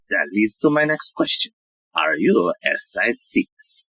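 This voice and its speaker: A woman playing a bumbling, earnest, oblivious police inspector in a farcical audio play, with a husky, theatrical delivery. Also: a bass voice, big pitch swings, slow pace, a bit bright, no room sound, heavily acted. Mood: earnest, pompous. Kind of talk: farcical audio drama acting.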